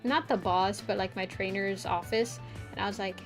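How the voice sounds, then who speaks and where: A woman's voice: mid-range voice, fairly even intonation, moderate pace, faint music behind. A young woman chatting casually to her stream while drawing, rambling with lots of filler words and frequent giggles. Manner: giggly, playful voice play.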